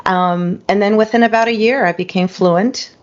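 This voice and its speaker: A middle-aged woman from Spain whose first language is French, a singer-songwriter reflecting in a relaxed interview chat with frequent ums and you-knows. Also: mid-range voice, moderate pace. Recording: dull lo-fi recording.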